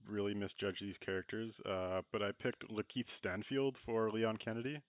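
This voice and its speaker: Lowish male voice, phone-like sound. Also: flat delivery, normal speed, a little hiss or hum. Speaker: podcast panelist. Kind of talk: casual chat, film discussion. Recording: thin phone-like dry call audio.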